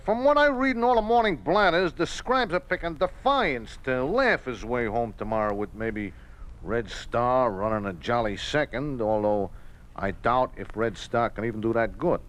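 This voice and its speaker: An actor playing a wry small-time racetrack bookmaker in a 1950s radio drama, talking in colorful slang and oddly formal phrasing. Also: male voice, mid-range voice, big pitch swings, normal speed, a bit bright. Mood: wry, guarded. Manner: colorful Runyonesque slang, formal present tense. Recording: vintage 1950s radio recording.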